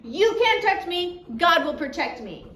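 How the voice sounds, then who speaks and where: A woman's voice: extremely high pitch, sing-song, a little slow, fairly bright tone, voice pushed up, exaggerated voice. A woman voicing a frightened but defiant missionary woman, firm and desperate, in a storybook reading. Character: frightened, defiant young woman missionary standing her ground. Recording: roomy echo, background noise.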